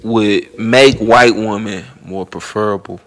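A man co-hosting a casual podcast, raising questions in a halting way as he searches for his words. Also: fairly low pitch, fairly even intonation, faint music behind. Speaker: podcast co-host.

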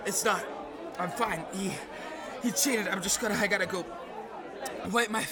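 A man's voice: high-pitched, normal speed, distant mic, a loud crowd, close to an everyday voice. An actor playing a petulant, easily wounded young male writer, indignant and stammering, in improvised audio comedy. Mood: whiny, upset. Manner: indignant, stammering, self-pitying.

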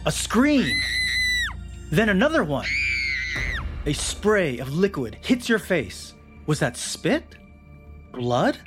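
A man's voice: high voice, very animated, slow pace, long pauses, raised voice. A young man reading scripted documentary narration with a dramatic storytelling delivery. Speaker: narrator of a feature article. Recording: music bed under the voice. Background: music.

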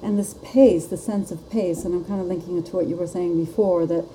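A woman's voice: on the low side, fairly even intonation, a little fast, dark tone, slight background noise, rough recording. A woman hosting a live podcast chat, talking casually and laughing often. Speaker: podcast host.

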